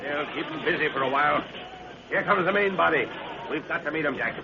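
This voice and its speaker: An actor playing a seasoned, commanding professor leading an army, clipped and hearty, in 1930s radio adventure style. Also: male voice, on the high side, fairly quick, close to an everyday voice. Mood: battle-excited. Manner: clipped, hearty, barking orders. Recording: muffled, noisy 1930s radio recording.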